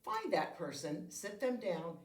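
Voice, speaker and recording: A woman reading a children's picture book aloud with deliberate, expressive phrasing meant for young listeners. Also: on the low side. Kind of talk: read-aloud storytelling. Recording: roomy echo, distant microphone.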